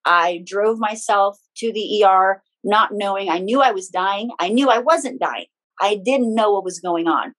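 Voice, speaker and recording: Woman, middle-aged, chatty guest, frank storyteller, medium pitch. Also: a little slow, a bit bright. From Philippines. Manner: chatty, frank, personal anecdotes with small laughs. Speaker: guest, spiritual teacher and author. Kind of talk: casual conversational storytelling.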